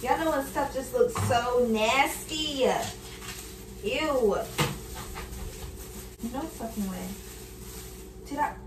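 A young woman in her early twenties talks in a fairly high voice, casual and candid, as if to friends following along while she cooks. She is recorded at home in an echoey kitchen, a little away from the mic, with faint noise behind her. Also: slow, long pauses. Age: early 20s. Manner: informal, slangy, candid, occasionally swearing. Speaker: cooking vlogger. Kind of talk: casual chat to viewers while cooking. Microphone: camera on counter, a few feet away. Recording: roomy, distant kitchen recording with background noise.

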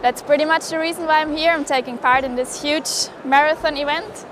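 A woman's voice: sits high, steady tone, a little fast, noisy background. A young woman, speaking in a cheerful, upbeat way in an interview. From Austria. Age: 20s. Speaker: student skater.

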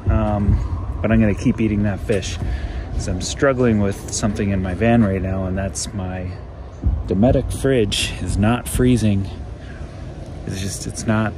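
A man's voice: fairly low pitch, steady tone, unhurried. A man in his forties talking casually to a handheld camera, rambling and correcting himself as he vlogs from his van and campsite. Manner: rambling, self-correcting, chatty. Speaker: van life vlogger. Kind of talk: casual vlog talk to camera. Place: inside a van and outdoors at a lakeside campsite. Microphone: handheld camera at arm's length. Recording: noisy handheld camera audio with car sounds.

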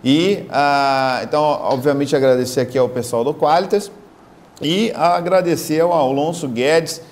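A man in his thirties with a Brazilian Portuguese accent, giving earnest closing remarks in a studio on a lapel mic, with lively gestures and frequent fillers. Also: on the high side, unhurried. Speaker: guest professor in the studio. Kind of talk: closing remarks on a talk show. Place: studio set.

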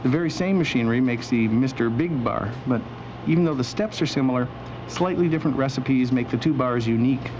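A man with a medium-pitched voice presents a scripted documentary in a wry, deadpan way. The sound is dull and lo-fi, like an old video soundtrack, with a clear hum of machinery behind him. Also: brisk, hardly any pauses. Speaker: host and narrator. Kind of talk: scripted documentary narration with dry humor.

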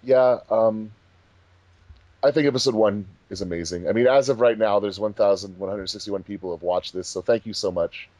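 A man's voice: lowish voice, normal speed, darkish tone, no echo, muffled recording. A man hosting a casual group commentary, chatting informally. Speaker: host of a fan dub commentary track.

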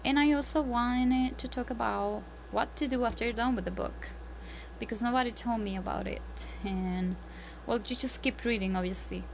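A woman's voice: fairly high pitch, normal speed, gravelly, poor audio. Chatty woman with a Spanish accent. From Spanish-speaking country. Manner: chatty, earnest, many ums and restarts. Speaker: homeschooling parent. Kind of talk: casual tips vlog. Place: home, at a table, indoors. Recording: audible background noise.

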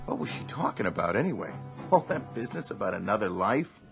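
A man's voice: fairly low pitch, big pitch swings, brisk, vocal fry. An actor playing a nosy, casual man in a radio drama. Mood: curious, amused. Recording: prominent music under radio drama.

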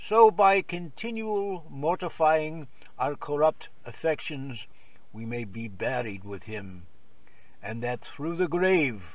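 Higher-voiced man praying slowly and formally. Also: no room sound, far from the mic. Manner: measured, reverent, formal. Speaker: prayer reader. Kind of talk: solemn liturgical prayer.

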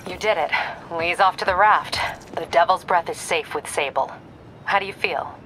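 Actress as lady police captain in a game, lowish voice, dry and matter of fact, rumbly noise behind. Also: normal speed, a bit bright, natural voice, poor audio. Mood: dry, supportive. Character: dry, businesslike female police captain, quietly supportive. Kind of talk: video game voice acting.

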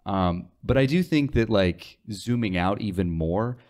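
A man's voice: fairly low pitch, animated, unhurried, darkish tone. A man in his twenties explaining things conversationally as a podcast guest, on a close studio mic. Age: 20s. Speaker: guest, radio sales associate. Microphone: close studio mic on desk, headphones.

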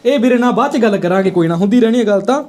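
A man's voice: high-pitched, expressive, very fast, darkish tone. A man chatting casually and making prank phone calls as the host. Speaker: prank call video host.

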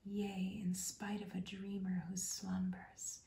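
A woman hosting an ASMR channel, reading a rhyming poem in a soft, unvoiced whisper throughout. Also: medium pitch, completely flat delivery, slow pace, creaky, echoey room. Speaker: host.

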